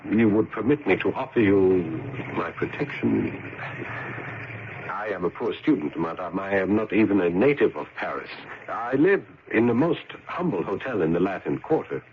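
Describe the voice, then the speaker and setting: An actor playing an earnest, gallant young student in a radio drama, with courtly, florid and slightly hesitant speech. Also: male voice, fairly low pitch, natural voice. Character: earnest, gallant poor young male student, smitten and romantic. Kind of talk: old-time radio drama acting and narration. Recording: muffled, noisy vintage radio broadcast.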